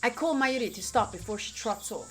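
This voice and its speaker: A woman streaming a visual novel, reading lines aloud in an expressive, playful, exclamatory way. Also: on the high side, a little fast. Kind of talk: animated visual novel playthrough narration. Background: game music.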